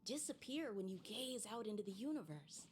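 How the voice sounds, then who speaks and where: A woman's voice: medium pitch, sing-song, moderate pace, background noise, natural voice. A woman playing an eager, earnest young swordswoman in a video game, full of wonder. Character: bright, eager young female swordswoman seeking opponents.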